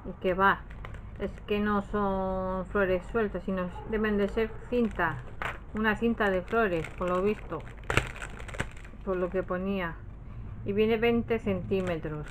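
Woman, Spain Spanish accent, unhurried rambling haul-vlog chat, faint background noise. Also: mid-range voice, steady tone. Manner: rambling, often trailing off and self-correcting. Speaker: craft haul vlogger. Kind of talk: casual chat, showing purchases to camera.

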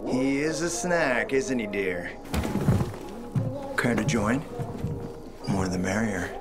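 Smug, slow-drawling flirt. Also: male voice, fairly low pitch. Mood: smug, teasing. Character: smug, flirtatious, suave male survivor. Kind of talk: video game character acting. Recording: loud music behind dialogue.

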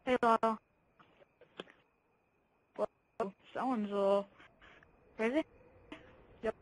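A woman's voice: fairly high pitch, fairly even intonation, takes it slowly, poor audio. A woman talking casually over game party chat in an exasperated, confrontational way. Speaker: online game party player. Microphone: game console party chat.

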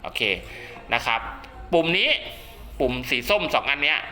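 A man's voice: mid-range voice, lively intonation, normal speed, sharp tone, soft background music. A man giving a casual spoken how-to demonstration, often checking that listeners follow along. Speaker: radio hobbyist presenter.

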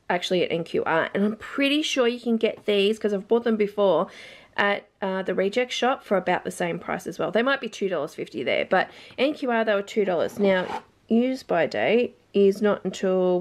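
An Australian woman, a mother in her thirties, chatting casually to the camera at home as she shows off her grocery bargains, rambling and thinking aloud about prices. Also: medium pitch. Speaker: vlogger mum showing a grocery haul. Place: home, indoors, carpeted floor. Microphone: camera held over products, filming hands.

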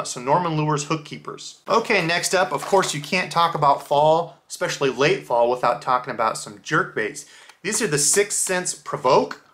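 A man, hosting a casual fishing lure unboxing from a small indoor room and explaining each bait in a relaxed, chatty way. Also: a little high. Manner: relaxed, chatty, explains gear step by step with fillers. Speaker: fishing channel host.

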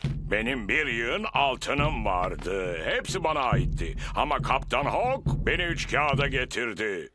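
Theatrical old pirate grandpa dog, slow. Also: male voice, medium pitch, big pitch swings, background noise. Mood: hearty, scheming. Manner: theatrical, hearty, pompous. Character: blustering old grandpa dog playing a bearded pirate captain. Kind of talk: children's cartoon voice acting in pirate talk.

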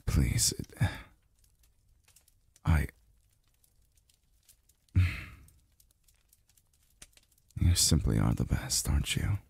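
A man playing a weary, tender immortal lover in an intimate ASMR roleplay, murmuring haltingly with sighs, close to the microphone. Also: lively intonation, a little mellow, no room sound, heavily acted. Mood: weary, reluctant. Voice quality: very deep, gravelly, breathy. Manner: halting, murmured, sighing delivery. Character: weary, tender adult male immortal being soothed by a lover. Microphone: close dry microphone.

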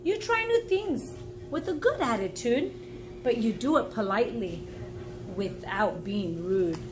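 High-pitched woman reading aloud to children. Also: expressive, measured pace, over music. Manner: encouraging, asks the listener questions. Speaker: reading teacher. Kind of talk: children's read-aloud with explanations. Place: small indoor room at home.

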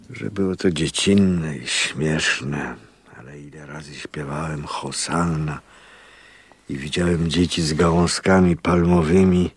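A fervent, gravelly, very deep priest. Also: male voice, no echo, full character voice. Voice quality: husky, gravelly. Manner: solemn, pleading, hushed at times. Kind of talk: radio drama acting.

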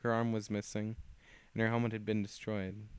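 Young man reading with halting restarts. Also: fairly low pitch, flat delivery, clear, resonant tone, no echo. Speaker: fan fiction reader. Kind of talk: reading his own fan story aloud.

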